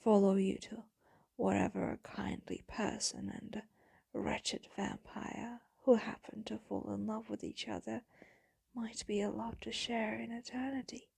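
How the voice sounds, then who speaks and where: Woman as a breathy, whispering, lovesick vampire. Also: mid-range voice, flat delivery, heavily acted. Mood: wistful devotion. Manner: slow, hushed, doting, wistful. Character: tender, wistful female vampire lover, devoted and melancholy. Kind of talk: intimate ASMR roleplay, mostly whispered.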